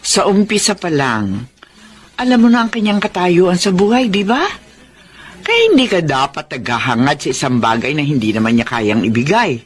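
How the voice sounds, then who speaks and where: An actress with a Filipino accent playing a sensible, concerned middle-aged mother in a radio drama, probing in a motherly way with a husky voice. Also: low-pitched, very animated, moderate pace, exaggerated voice. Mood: probing, admonishing.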